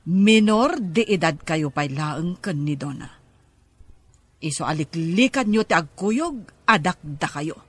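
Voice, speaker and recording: A man's voice: sits high, a bit bright, slightly rough, full character voice. A middle-aged man voicing a father in an audio drama, earnestly lecturing his teenage son. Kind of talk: amateur audio drama acting.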